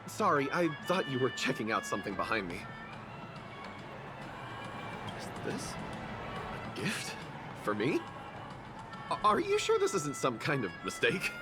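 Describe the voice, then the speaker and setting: A man's voice: mid-range voice, wildly swooping pitch, normal speed. A man voicing an awkward, lonely young rich heir in a video game, hesitant and emotional, over music. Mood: hesitant, surprised.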